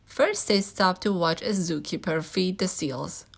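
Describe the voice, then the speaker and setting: A woman's voice: fairly low pitch, a little slow, vocal fry, husky. A woman reading a children's picture book aloud as a storyteller.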